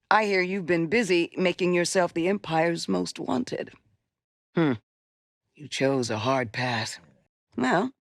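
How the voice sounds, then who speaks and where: Wise, resolute female Jedi mentor for a sci-fi game: low, measured, recorded very dry. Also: vocal fry, slightly rough, dry recording, exaggerated voice. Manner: measured, deliberate, earnest delivery. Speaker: video game voice actress. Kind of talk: cinematic game dialogue acting.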